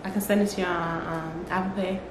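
A woman's voice: lowish voice, flat delivery, brisk. A woman, chatting casually as a client in a small room indoors. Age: 20s. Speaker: vlogger, salon client. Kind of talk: casual chat. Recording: roomy echo, clearly noisy room.

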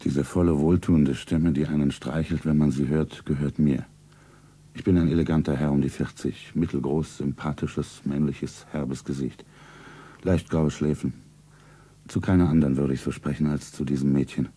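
Elegant radio-play suitor, around forty; full, soothing, very low and dark. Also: male voice, fairly even intonation, moderate pace, husky. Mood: lovestruck, suave. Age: 40s. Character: elegant, lovestruck rich gentleman of about forty. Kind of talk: radio play acting, romantic monologue.

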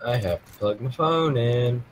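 A man's voice: mid-range voice, unhurried. A man joking around with a streamer over voice chat, teasing and playful. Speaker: voice chat friend. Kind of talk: casual voice chat.

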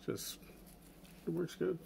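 A man casually demonstrating a pocket knife at a table, relaxed and rambling, with chuckles and filler words. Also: slow. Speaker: channel host reviewing a pocket knife. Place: indoors at a wooden table. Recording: steady background noise with handling clicks.

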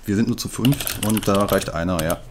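A man in his thirties hosting a casual gaming video from a home desk, animated and jokey, swearing when flustered. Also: fairly low pitch, normal speed, a loud crowd. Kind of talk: casual gaming chat with friends.

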